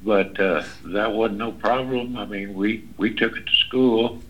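A man, recalling his past in an oral history interview, talking haltingly with many fillers and restarts. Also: lowish voice, steady tone, highs cut off. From Kansas City, United States. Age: 80s. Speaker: interviewee, Navy veteran. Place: library interview room.

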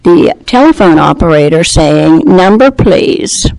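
An older woman from Pennsylvania recalls her past in an interview, halting and slow. Her voice is gravelly and the sound is close and dry. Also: on the low side, animated, darkish tone, no echo, rough recording. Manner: halting, with many fillers. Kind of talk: reminiscing in an interview.

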